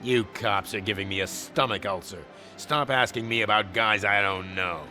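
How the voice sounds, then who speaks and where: A man's voice: on the low side, moderate pace, pushed and bright, faint music behind. An actor playing an irritated, evasive shady businessman in a video game, dismissive and sarcastically taunting.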